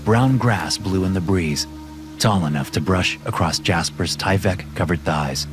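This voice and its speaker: Deep voiced guy narrating a horror story, measured, music under it. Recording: music bed behind voice.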